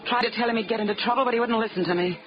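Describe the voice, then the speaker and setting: A woman's voice: fairly high pitch, rapid-fire, lightly acted. A woman playing a sultry, sly nightclub dame. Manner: sultry, teasing, knowing. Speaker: radio drama actress. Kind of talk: 1940s radio acting. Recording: muffled 1940s radio broadcast.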